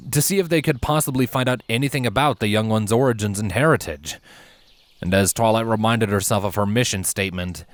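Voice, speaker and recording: A man reading scripted fantasy fan fiction aloud as a narrator, in measured, expressive storytelling. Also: lowish voice, no echo. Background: background music.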